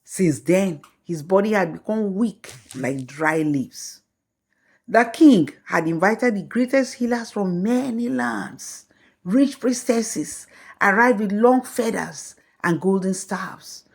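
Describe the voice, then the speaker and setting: A woman telling a folktale as scripted storybook narration, in a slow, measured way. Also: medium pitch, lively intonation, gravelly, husky.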